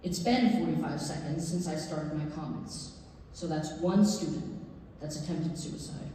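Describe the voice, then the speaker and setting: An earnest, urgent woman , played by an actress, delivers a public plea in a deliberate, emphatic way. Her medium-pitched voice rings around a big reverberant theatre, picked up from a distance over faint noise. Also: a little mellow, natural voice. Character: earnest, urgent woman pleading at a school board. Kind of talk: staged reading of a public comment speech. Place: library district theatre stage. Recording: echoey hall, distant mic, faint noise.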